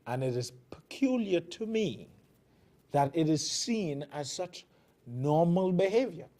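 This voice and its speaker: A man's voice: fairly high pitch, expressive, unhurried, warm tone. A man from the Bahamas with a Bahamian accent, hosting a TV commentary show and delivering fiery, emphatic political commentary in a preaching cadence. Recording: television broadcast.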